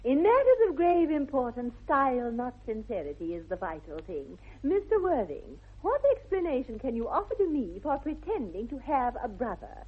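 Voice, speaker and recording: A woman's voice: high-pitched, big pitch swings, moderate pace, few pauses, slightly rough, full character voice. An actress playing a poised, self-assured young upper-class English lady in a 1960s radio comedy, with affected, elegant diction. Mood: poised, romantic. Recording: tinny, band-limited vintage radio recording.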